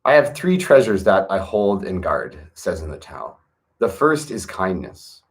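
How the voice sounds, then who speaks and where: A man reading an old wisdom text aloud in a measured, earnest way, with brief casual asides to his listeners. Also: sits low, big pitch swings. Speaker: reader of a classic text.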